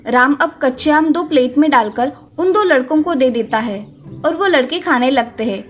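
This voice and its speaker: A woman's voice: high voice, normal speed. A woman reading a scripted children's story as its narrator. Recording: music under the voice.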